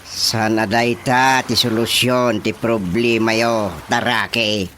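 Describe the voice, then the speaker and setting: An actor with an Ilocano accent playing a teasing, drawling village official in a radio comedy drama. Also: male voice, fairly low pitch, little pitch movement, slow pace, pushed and bright, a little hiss or hum. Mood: doubtful.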